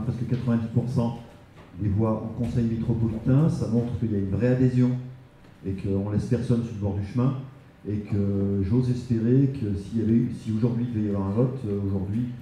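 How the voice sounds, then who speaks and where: A man giving spontaneous interview testimony, explaining in a halting way. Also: on the low side, steady tone, mellow. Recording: very echoey room, faint background noise.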